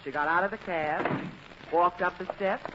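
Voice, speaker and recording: A woman's voice: on the low side, moderate pace. A woman giving scripted, wry and playful comic narration for a vintage radio show. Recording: muffled, noisy old radio recording.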